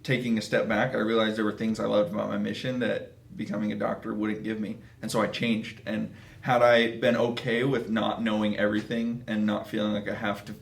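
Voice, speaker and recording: A man's voice: fairly low pitch, flat delivery, brisk, vocal fry. A man in his twenties talking casually and emphatically as an interview guest, in an echoing conference room. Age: 20s. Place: small office conference room. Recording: roomy, echoing office.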